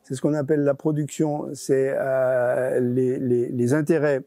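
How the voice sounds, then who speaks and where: Guy being interviewed, mellow and warm, dry sound, kinda stumbles and repeats words while explaining. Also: medium pitch, little pitch movement, unhurried, no echo. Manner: hesitant, often repeats words. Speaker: interviewee, regional deputy director of a nonprofit.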